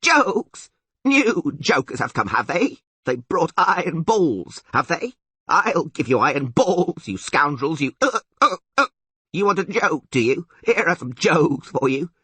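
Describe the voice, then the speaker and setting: A man voicing a furious horned goat-man devil for an audiobook, shrill and bleating, barking and gibbering his threats. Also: big pitch swings, a little slow, bright, forceful tone, breathy edge, no echo, exaggerated voice. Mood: furious, taunting. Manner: barking, roaring, stuttering repeated words and bleats. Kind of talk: audiobook character acting.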